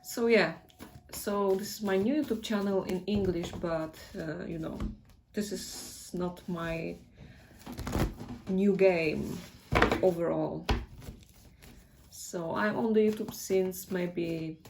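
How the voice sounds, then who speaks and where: A woman's voice: fairly low pitch, fairly even intonation, slow pace, creaky, long pauses, a little mellow, slight background noise, low-quality recording. A woman with a Polish accent, a native Polish speaker, giving a casual tarot reading in a hesitant way and often searching for words. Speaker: tarot reader.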